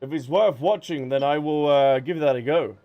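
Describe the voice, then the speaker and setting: A man's voice: a little high, moderate pace, highs cut off, a little hiss or hum. A man streaming a game, chatting casually and thinking aloud as he answers viewers. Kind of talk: casual livestream chat while gaming. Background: background music.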